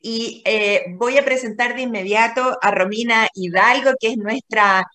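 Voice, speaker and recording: A woman's voice: a little high, fairly even intonation, measured pace, pushed and bright. A woman hosting a casual interview, asking long winding questions with an effusive, repeating manner. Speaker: interview host.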